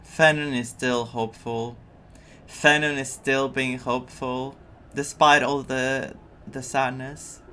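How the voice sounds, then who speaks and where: A man's voice: medium pitch, little pitch movement, slow pace, bright, forceful tone. A male gaming streamer comments casually on a story game in a mid-range voice. He reacts theatrically and repeats himself, with faint noise in the background.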